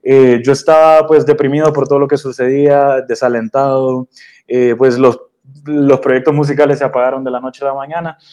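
A young adult man from Honduras, a DJ and producer, telling his story in a relaxed interview with many fillers and restarts. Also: mid-range voice, normal speed. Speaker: guest DJ and producer. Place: radio studio.

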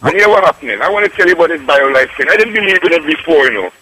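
Excitable Trinidadian man in his fifties. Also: on the high side, very fast, no room sound, poor audio. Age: 50s. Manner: enthusiastic, keeps calling the host "boss". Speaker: caller. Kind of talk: phone-in radio testimonial.

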